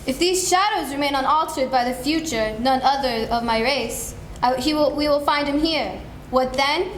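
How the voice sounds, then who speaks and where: Young woman as stern, high-voiced ghostly spirit. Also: normal speed, lightly acted. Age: young adult. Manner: declamatory, grave. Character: solemn, stern ghostly spirit rebuking a miser. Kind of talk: dramatic stage reading. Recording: echoey room, faint noise.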